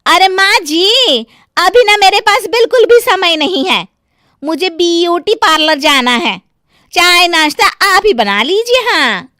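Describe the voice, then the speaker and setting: A young daughter-in-law acted by a woman in a dramatised moral story, theatrical and wheedling, with a haughty streak. Her voice is high and bright, and she stretches her words slowly in a very dry recording. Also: animated, dry recording, full character voice. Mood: dismissive, irritated. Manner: theatrical, drawn-out, wheedling delivery. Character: young village-born daughter-in-law, sugary then haughty and demanding. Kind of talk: dramatised Hindi moral story, cartoon-like acting.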